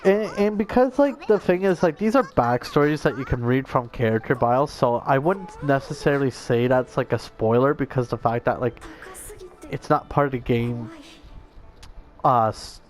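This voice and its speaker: A man's voice: medium pitch, moderate pace. A young man giving casual, rambling game commentary as a streamer, swearing freely and talking in slang. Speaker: gaming streamer.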